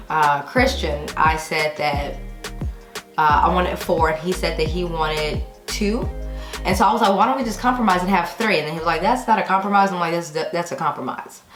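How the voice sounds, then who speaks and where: An American woman in her thirties with a fairly low voice talks casually to camera. She is chatty and conversational, tossing in playfully emphatic asides. The room echoes clearly and music plays behind her. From Texas, United States. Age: 30s. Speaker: YouTube vlogger. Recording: roomy echo with music behind. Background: background music.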